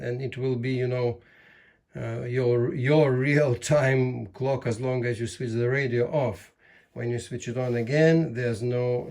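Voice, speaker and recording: A man giving casual instructional talk as a hobby video host, in a halting way with repeated words. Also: medium pitch, creaky, dark tone. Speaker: amateur radio video host.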